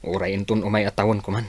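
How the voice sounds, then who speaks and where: A man's voice: on the low side, slightly rough, no echo. An actor playing a troubled, sighing young man pushed into an arranged marriage in a radio drama. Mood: burdened, resigned.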